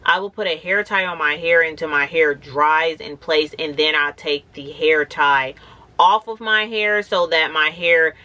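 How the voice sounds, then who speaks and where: Thirties woman vlogger, slightly hoarse and raspy, bright tone, casual rambling chat. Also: deep voice, fairly even intonation, measured pace, lo-fi sound. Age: 30s. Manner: rambling, conversational, many "like"s. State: hoarse from a cold, with braces. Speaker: natural hair vlogger. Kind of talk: casual talking-to-camera vlog.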